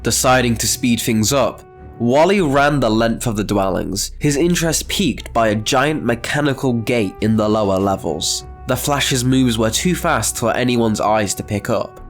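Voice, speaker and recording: Male narrator-host for scripted story videos, medium pitch, light music bed. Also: normal speed. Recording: faint music behind the voice.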